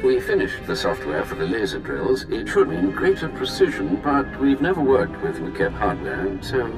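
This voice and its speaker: Casting: hesitant game technician, varied intonation, average register, score underneath. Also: male voice, mid-range voice, very animated, normal speed. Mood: cautious. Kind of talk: video game dialogue. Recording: game music behind the voice.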